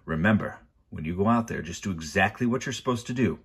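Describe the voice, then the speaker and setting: A menacing, bony villain's henchman from a storybook, put on by a male narrator. He is low-voiced and curt, handing out threats as orders. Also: fast, creaky, fairly bright tone, breathy edge, exaggerated voice. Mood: threatening. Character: menacing bony henchman, bald with a long nose.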